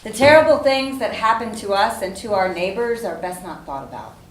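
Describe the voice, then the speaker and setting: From far off in an echoey room, an actress plays an expressive woman in stage dialogue. Her mid-pitched voice swings in a lively way. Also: brisk, lightly acted. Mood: measured. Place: live staged reading indoors. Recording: distant, echoey room, faint noise.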